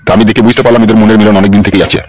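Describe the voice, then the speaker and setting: A man's voice: fairly low pitch, level tone, rapid-fire, darkish tone, no echo, natural voice. A man playing a wronged, desperate husband in a comedy cartoon, speaking crudely. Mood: pleading. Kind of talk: amateur comedy cartoon dialogue.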